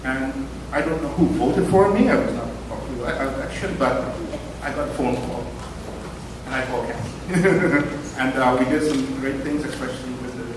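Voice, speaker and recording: A man's voice: medium pitch, normal speed. A man giving a rambling, self-deprecating speech at a brunch event, full of ums and asides. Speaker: event speaker. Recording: echoey hall from far off, noisy.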